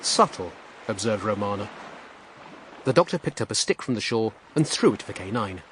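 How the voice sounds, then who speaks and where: A man narrates an audiobook in a wry, storytelling voice with a lively, rising and falling melody. A faint hiss sits under the reading. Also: lowish voice, brisk. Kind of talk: scripted narration.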